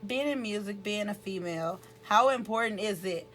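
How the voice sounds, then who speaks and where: A woman's voice: mid-range voice, moderate pace, a bit bright, soft background music. A woman hosting a casual interview, chatty and informal, with plenty of slang. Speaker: interviewer, host.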